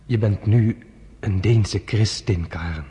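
An actor playing a kind foster father, a judge, speaking softly and reassuringly in a radio play. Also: on the low side, slow pace, slightly rough, long reverb tail, exaggerated voice. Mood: gentle. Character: kind older male Danish judge, gentle foster father.

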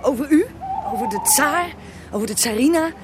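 Eager young woman reporter, lively high voice. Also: moderate pace, music in the background. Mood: curious. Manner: animated, inquisitive. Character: eager, awed young female journalist interviewing a historical ghost. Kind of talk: radio drama acting.

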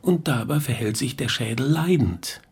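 A man's voice: medium pitch, animated, normal speed, a little mellow, breathy edge. An actor playing a pedantic male professor who delivers a formal lecture in a German radio play. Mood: lecturing.

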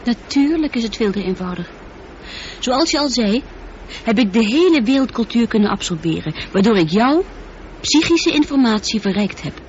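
An actress the playing a highly intelligent, humanlike female spaceship computer in a radio play, speaking in measured, articulate sentences. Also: very animated. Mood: calm, analytical. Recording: dull noisy old radio play recording.

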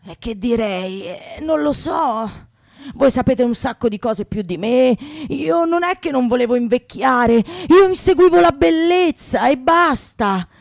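A woman's voice: high voice, lively intonation, a little slow, creaky, no room sound, studio quality, exaggerated voice. A woman playing a world-weary, grudging damned aesthete, hesitant and blunt, in a radio comedy sketch. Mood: reflective, blunt.

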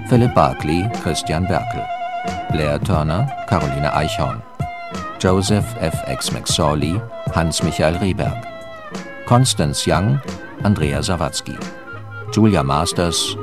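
A man formally reading radio drama credits as an announcer, in a deliberate scripted manner. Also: extremely low pitch.

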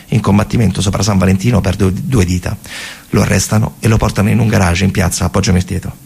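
Husky, gravelly Italian storyteller, a man. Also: lowish voice, a little mellow. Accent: central Italian. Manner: short emphatic phrases with repetition. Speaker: narrative theatre storyteller. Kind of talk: dramatic spoken storytelling, radio theatre monologue.

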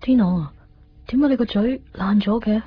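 A woman acting a conflicted young woman in a Cantonese radio drama, speaking with a Hong Kong accent in halting, introspective phrases. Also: medium pitch, slightly rough, natural voice. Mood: subdued. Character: conflicted young woman torn in love, brooding and evasive. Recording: dull lo-fi 1990s radio recording, music behind. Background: background music.

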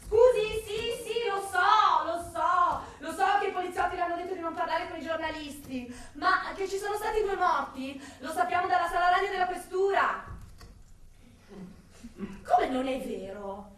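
A woman's voice: very high voice, moderate pace, fairly bright tone. An actress playing a pushy, ambitious television reporter in a theatrical radio drama, insistent with her pleading questions. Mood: insistent, urgent. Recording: echoey, distant stage sound with music.

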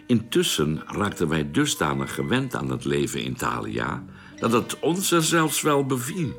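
Deep-voiced spaceman narrator over music. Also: huge pitch swings, gravelly, fairly bright tone, full character voice. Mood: sober, reflective. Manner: measured storytelling, formal. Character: sober male spaceman recounting his expedition. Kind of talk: scripted narration in a radio drama.

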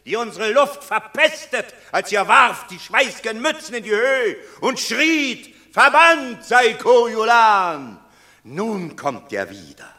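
An actor playing a fiery, scornful Roman patrician in a classical radio play, declaiming emphatically. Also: male voice, very high-pitched, animated, takes it slowly, heavily acted. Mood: bitter scorn. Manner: declamatory, emphatic, swinging between hush and outburst. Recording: echoey hall-like radio drama studio.

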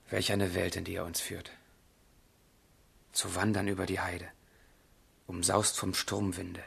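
A tormented young romantic in a radio play. The voice is low and gravelly, close and dry. He speaks with formal, literary intensity and deep feeling. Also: no echo, heavily acted. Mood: subdued, yearning. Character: passionate, tormented young man of feeling, letter-writing lover. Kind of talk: German literary radio drama, monologue and dialogue.

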